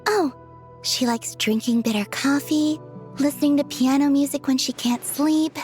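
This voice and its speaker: A woman voicing a gentle, sincere young woman in a video game, who speaks softly and earnestly, half in a whisper. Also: high-pitched, normal speed, soft background music, natural voice. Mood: tender. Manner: soft, earnest, partly whispered.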